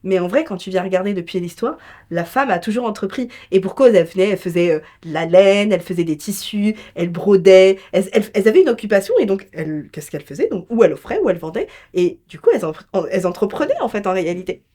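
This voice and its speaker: A woman's voice: mid-range voice, expressive, quick pace, warm tone. A woman speaking conversationally as an interview guest, telling personal stories in an emphatic, colloquial way. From France. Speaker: interview guest, entrepreneur and business mentor.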